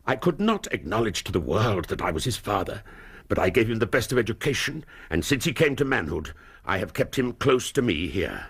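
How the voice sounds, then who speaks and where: Gravelly, anguished English duke. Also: male voice, on the low side, very animated, heavily acted. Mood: sorrowful confession. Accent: English, upper-class. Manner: formal, grave, halting under strain. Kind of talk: radio period drama acting.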